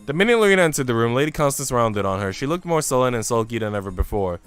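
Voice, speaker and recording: A man's voice: mid-range voice, sing-song, quick pace, clear, resonant tone, faint music behind. A man streaming a visual novel, switching between casual, slangy chat and reading the story aloud. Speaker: game streamer.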